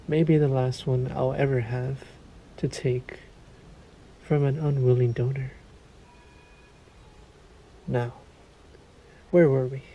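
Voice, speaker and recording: A man playing a sadistic, courtly vampire lord in a roleplay audio drama, suave and menacing, with formal, drawn-out politeness. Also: mid-range voice, warm tone, slight background noise, rough recording. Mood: smug, taunting. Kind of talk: scripted roleplay audio drama, addressed to listener.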